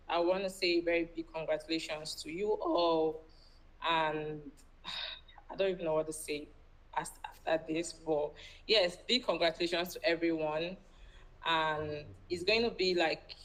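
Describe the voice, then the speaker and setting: Low-voiced Nigerian woman, informal speech. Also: low-quality recording. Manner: conversational, often checking with "right?". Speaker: founder giving welcome address. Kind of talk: informal unscripted welcome speech.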